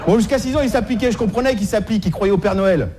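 A man's voice: sits high, fast talker, noisy background. A man doing a stand-up comedy monologue in slangy, streetwise, mock-naive style, repeating phrases as he goes, on a live stage recording.

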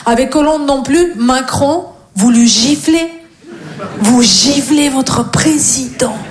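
Young Russian-accented female stand-up with a deadpan teasing delivery and a fairly high voice, in an echoey club. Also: slow, heavy background noise. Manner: teasing, deadpan jabs at the audience. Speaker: stand-up comedian. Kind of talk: stand-up comedy set. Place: comedy club stage. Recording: echoey club with crowd laughter. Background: audience laughter.

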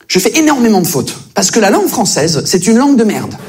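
A British man whose first language is English, doing stand-up comedy with animated storytelling in fluent French. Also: high-pitched, a little fast, background noise. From Britain. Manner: animated storytelling, acting out other people.

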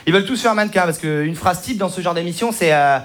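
A man performing a quick, mocking stand-up set in a comedy club, slangy and acting out characters. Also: high-pitched. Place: comedy club stage with audience. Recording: live club with loud crowd laughter.

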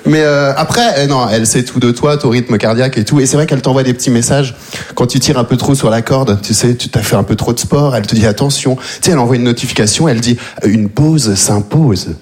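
A man performs stand-up comedy in a mid-pitched voice. He talks to the crowd in a relaxed, conversational way, teasing them as he goes. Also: brisk. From Belgium. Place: comedy stage before a live audience.

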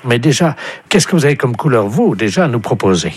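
A man's voice: mid-range voice, very animated, fairly quick. A male radio prankster who makes a prank phone call, speaking in a mock-official tone with earnest absurdity.

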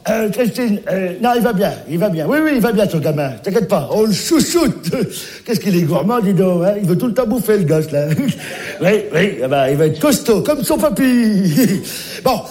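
A man's voice: high voice, expressive, moderate pace, darkish tone. A man playing a scatterbrained grandfather on a comic phone call, rambling, forgetful and repeating himself. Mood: bumbling. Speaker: comic sketch character. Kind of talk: comic one-sided phone call sketch.

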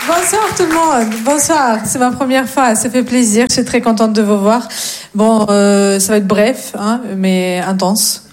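A woman's voice: on the high side, a little slow. A woman from Russia doing stand-up in French with a Russian accent, playful and teasing the audience. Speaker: stand-up comedian. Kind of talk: stand-up comedy set. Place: comedy club stage with live audience. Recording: live club crowd noise. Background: audience laughter and cheers.

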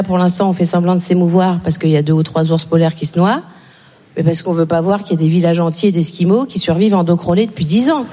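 A woman comedian delivers a sardonic stand-up monologue. Her voice is mellow and on the low side, ringing through a reverberant hall with some noise. She talks conversationally while being deliberately provocative. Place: stage of a comedy show.

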